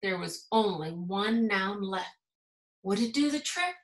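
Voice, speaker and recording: A woman's voice: mid-range voice, animated, measured pace. A woman reading a children's storybook aloud expressively, like a school principal addressing young listeners. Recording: roomy echo.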